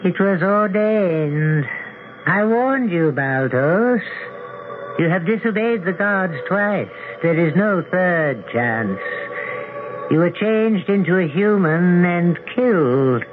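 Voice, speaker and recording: A man's voice: high voice, lively intonation, takes it slowly. A man playing a stern supreme god passing judgment, solemn, formal and imperious, in a 1970s radio drama. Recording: narrowband old radio with music behind.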